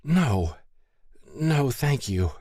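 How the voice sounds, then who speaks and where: Guy doing a scared protective young mom in an audiobook, high for him, swoopy. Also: sing-song, drawn-out words, darkish tone, slightly rough, no echo, studio quality, heavily acted. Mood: frightened, defiant. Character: frightened, fiercely protective injured young mother. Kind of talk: audiobook character dialogue.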